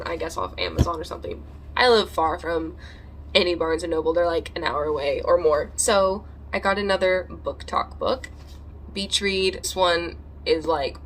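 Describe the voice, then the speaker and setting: A woman's voice: fairly low pitch, a bit bright. A woman vlogging casually about books, chatty and rambling, with a congested, slightly nasal voice. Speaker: book vlogger. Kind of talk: casual vlog chat.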